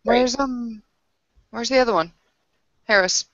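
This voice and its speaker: A woman narrates her tabletop character casually and hesitantly. Her pace is slow, with phrases coming in pieces. The recording is dry and somewhat lo-fi. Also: on the high side, no echo. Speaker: tabletop roleplaying player. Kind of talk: casual improvised roleplay and narration. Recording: dry, slightly muffled voice-chat audio.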